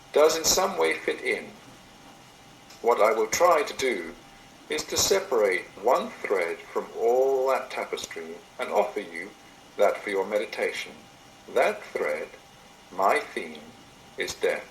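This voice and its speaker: A man delivers a reflective, sermon-like reading in a mid-pitched voice. He is measured and serious, with lively rises and falls across his phrases. The amateur computer recording sounds roomy. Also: gravelly, slight background noise. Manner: measured, solemn, deliberate phrasing. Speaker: reader of a meditation written by another. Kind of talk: read-aloud meditation, sermon-like address. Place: indoor room, recorded into a computer.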